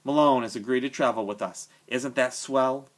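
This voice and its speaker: A man voicing an enormous, bearded, gentle drifter who speaks in a formal, genteel and playful way. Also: medium pitch, moderate pace, natural voice. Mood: genial.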